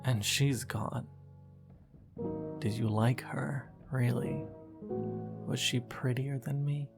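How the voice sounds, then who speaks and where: A woman reading a scripted horror story in an intimate, hushed and brooding manner, with sighs between phrases. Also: rumbling low, fairly even intonation, slow pace, gravelly. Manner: hushed, sighing, brooding, addressing the listener as "you". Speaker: narrator of a horror fiction podcast. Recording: music under the voice. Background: instrumental music.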